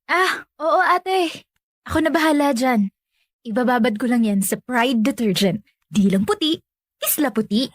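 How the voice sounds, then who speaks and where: A woman's voice: high voice, expressive, a little slow, long pauses, dry recording, clean studio sound. A young woman playing a resigned, dutiful family caretaker in natural radio drama dialogue, self-effacing and accommodating. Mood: meek, eager.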